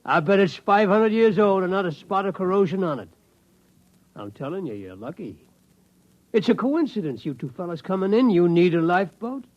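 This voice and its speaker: A man's voice: sits high, sing-song, normal speed. An actor playing a slick, folksy used-spaceship salesman with boastful, wheedling patter in a 1950s radio drama. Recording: muffled vintage radio recording.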